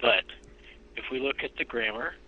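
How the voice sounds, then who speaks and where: A man talking haltingly and defensively on a lo-fi phone call. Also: mid-range voice, slightly rough. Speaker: prank call target. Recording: dull, lo-fi phone line.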